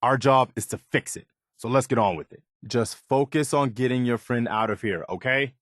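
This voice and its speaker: An actor playing an earnest, dutiful, plainspoken militia lieutenant in a post-apocalyptic video game. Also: male voice, mid-range voice, moderate pace, fairly bright tone, no echo, clean studio sound, close to an everyday voice. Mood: earnest, concerned. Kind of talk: video game character dialogue.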